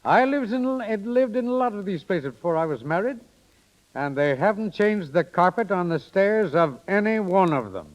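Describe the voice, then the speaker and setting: A gentle, philosophical older father in a 1930s radio drama. His fairly high voice moves through lively, varied intonation as he counsels like an old hand. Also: normal speed, dull audio. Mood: fatherly. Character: wistful, fatherly older man advising his son-in-law.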